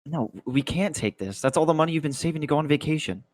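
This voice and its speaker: A man's voice: fairly high pitch, animated, quick pace, dry recording, lightly acted. Man playing a scared young college guy, dry sound. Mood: tender. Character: frightened, guilty young college student son on the run. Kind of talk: table read of a screenplay.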